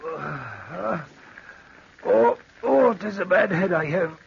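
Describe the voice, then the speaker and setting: A man's voice: high-pitched, sing-song, takes it slowly, gravelly, slightly rough, dull audio, full character voice. An actor playing a flustered, stammering Irish workman in 1940s radio drama, on a noisy old recording. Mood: groggy, aggrieved. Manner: stammering, repeating himself. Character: Joe, flustered superstitious Irish kiln fireman.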